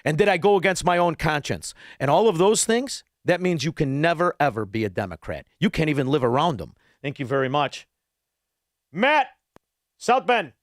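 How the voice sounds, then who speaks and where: A man's voice: on the high side, big pitch swings, moderate pace, dry recording, clean studio sound. A man hosting a call-in radio show, ranting bluntly with insults and rambling asides. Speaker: radio talk show host. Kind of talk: combative call-in talk radio. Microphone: studio microphone.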